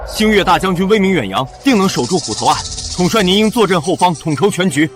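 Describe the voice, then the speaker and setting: An anxious, dutiful army officer in a period drama. His voice is very high for a man and runs quickly, with music clearly behind it. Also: animated. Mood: formal. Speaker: actor in a costume short drama. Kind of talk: period war drama acting. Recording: dramatic music under the dialogue.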